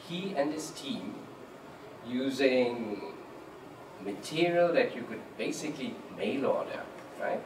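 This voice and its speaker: A man on a panel tells his stories in an animated, anecdotal way. His voice sits at a middle pitch and comes across from a distance. The big hall echoes around him, with room noise underneath. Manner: animated, quotes people vividly. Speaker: author on a panel. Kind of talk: panel discussion, storytelling. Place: large lecture hall with audience. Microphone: handheld mic.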